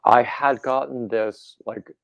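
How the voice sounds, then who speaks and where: A man hosting a casual live chat with his viewers, rambling in a relaxed way and reading their comments aloud, his throat a little congested. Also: mid-range voice, slow, creaky, no room sound, highs cut off. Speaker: livestream host.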